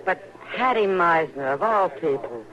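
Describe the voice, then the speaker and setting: A woman's voice: fairly low pitch, sing-song, a little slow, fairly bright tone. Earnest frontier woman, muffled old radio. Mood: saddened, disbelieving. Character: warm-hearted, worried, practical frontier townswoman, grown woman. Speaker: radio actress. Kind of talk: old-time radio western acting. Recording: dull, lo-fi vintage radio recording.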